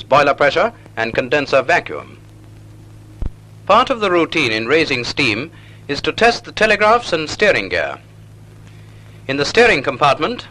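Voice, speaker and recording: A British man narrates an old naval training film from a script. His voice is bright and sits a little high, with animated, swooping intonation. He phrases everything in a measured, didactic way, as an instructor would. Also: poor audio. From United Kingdom.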